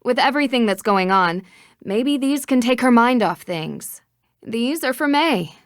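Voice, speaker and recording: Kind young detective in a video game, a woman with a fairly high, animated voice. She sounds calm and encouraging, thinking aloud in a dry, close recording. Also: unhurried, no room sound. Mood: cheerful musing.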